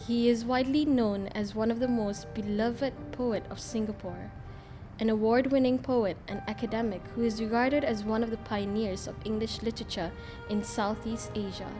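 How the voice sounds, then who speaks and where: Female host voice-over, formal scripted intro, slightly high-pitched, music bed behind. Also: steady tone, normal speed. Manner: formal, reading a prepared biography. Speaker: interview host narrator.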